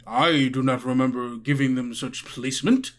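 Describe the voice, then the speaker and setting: A man's voice: medium pitch, moderate pace, natural voice. A man voicing a stern owl school principal in a tabletop game, formal, condescending and coldly authoritative. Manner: formal, haughty, belittling.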